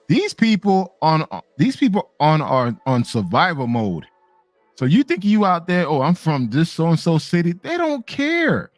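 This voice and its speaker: A man hosting a live talk, his mid-range voice jumping up high whenever he drives a point home. The sound is dry and close, with faint music behind. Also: big pitch swings, measured pace, dry recording. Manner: emphatic, swings up in pitch when stressing a point. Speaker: host. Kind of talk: casual live chat.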